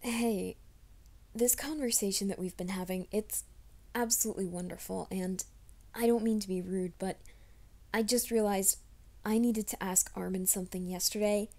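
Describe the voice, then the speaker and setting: A woman's voice: mid-range voice, steady tone, normal speed, lightly acted. Woman voicing a whispering young werewolf man. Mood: nervous, polite. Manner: mostly hushed, urgent whispering to himself. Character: young male werewolf soldier, anxious and secretive. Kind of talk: ASMR roleplay audio.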